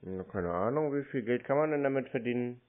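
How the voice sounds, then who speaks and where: A man's voice: medium pitch, animated, fairly quick. A man with a mid-range voice talks casually on the phone. He is curt and blunt, grumbling his short replies, and the sound is narrow and tinny like a phone line. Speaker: prank scam-baiter.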